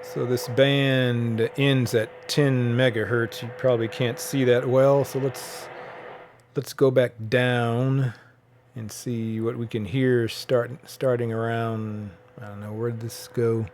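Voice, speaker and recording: A man giving a casual, unscripted commentary as a radio hobbyist, thinking aloud in a halting way. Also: mid-range voice, little pitch movement, a little mellow, slight background noise. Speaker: shortwave radio hobbyist presenting a bandscan.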